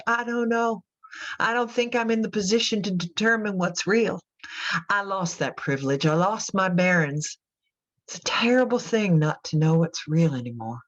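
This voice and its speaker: A woman acting in a radio drama as an anguished, guilt-ridden mother in prison, speaking in a halting, confessional way. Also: medium pitch, highs cut off, natural voice. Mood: bleak, lost. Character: guilt-ridden imprisoned mother who killed her daughters.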